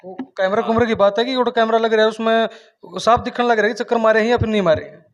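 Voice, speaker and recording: High-pitched Haryanvi male interviewer, muffled sound. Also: fairly even intonation, fast. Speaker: interviewer, local reporter. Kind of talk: informal interview questioning.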